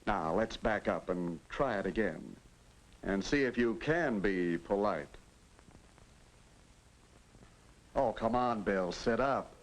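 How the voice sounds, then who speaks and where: A man's voice: on the low side, expressive, unhurried. An actor playing a fatherly narrator who coaches a teenager in manners in a 1950s educational film. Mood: mild scolding. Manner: coaching, instructive. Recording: noisy old 1950s film soundtrack.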